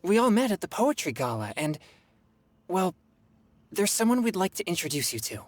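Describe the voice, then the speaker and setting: A man's voice: high voice, very animated, moderate pace. An actor playing a polite, earnest young man in a video game, courteous and slightly hesitant. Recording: faint music behind the voice.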